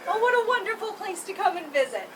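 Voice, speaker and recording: A woman's voice: very high voice, very animated, fast talker, recorded from a distance, over a noisy crowd. A woman voicing a cheerful young mermaid who gushes with breathless enthusiasm. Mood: gushing.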